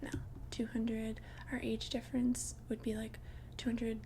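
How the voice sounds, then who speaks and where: A woman playing a centuries-old vampire who looks like a young adult, confiding casually in a breathy, hesitant ASMR whisper. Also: a little high, level tone, unhurried, dark tone, a little hiss or hum. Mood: sheepish. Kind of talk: soft-spoken whispered ASMR roleplay.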